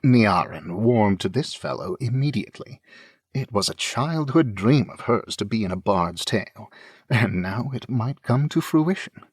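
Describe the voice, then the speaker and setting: A man's voice: on the low side, lively intonation, unhurried, husky, no room sound, clean studio sound. A man reading scripted fantasy narration as an audiobook narrator.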